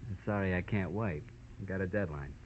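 A man's voice: sits low, brisk, lightly acted. A man playing a slippery, impatient American newspaperman in an old radio crime drama, glib, curt and slangy. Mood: cocky, impatient. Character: cocky, slippery, impatient male newspaper reporter. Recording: dull, faintly noisy old radio recording.

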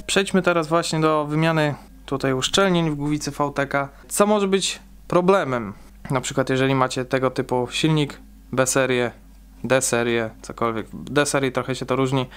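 A young man in his twenties explaining a car engine repair step by step in a casual, chatty way, with frequent fillers. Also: a little high.

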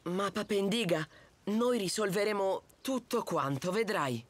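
A woman's voice: medium pitch, lively intonation, unhurried, breathy edge, no room sound. Earnest young tiger cub boy. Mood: reassuring. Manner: polite, eager, childlike.

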